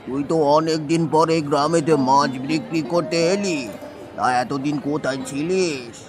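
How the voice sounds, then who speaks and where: A man voicing a familiar, haggling village gentleman shopping in a cartoon. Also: fairly high pitch, moderate pace, natural voice. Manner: familiar, bargaining, talks down casually. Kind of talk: cartoon voice acting in Bengali village story.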